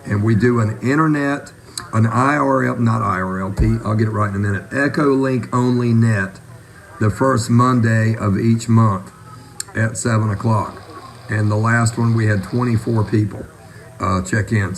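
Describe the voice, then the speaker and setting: Male net controller, lower register, casual on-air hosting. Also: creaky, slight background noise. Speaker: ham radio net controller.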